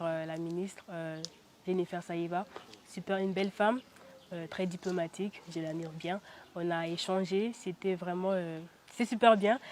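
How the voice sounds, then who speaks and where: A young woman from the Central African Republic giving casual interview answers, chatty and often hesitating with "euh". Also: medium pitch. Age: young adult. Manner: chatty, frequent hesitations with "euh", laughs now and then. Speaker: interviewee, beauty pageant titleholder.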